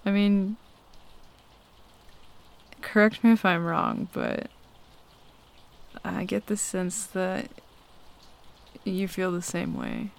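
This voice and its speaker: A woman playing a shy, smitten young tomboy roommate in an intimate ASMR roleplay, halting and flustered as she talks. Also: fairly low pitch, wildly swooping pitch, gravelly, full character voice. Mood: nervous, confessing. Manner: halting, hesitant, flustered with nervous chuckles. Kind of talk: ASMR roleplay, intimate one-sided dialogue. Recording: faint rain noise behind close voice. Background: rain falling.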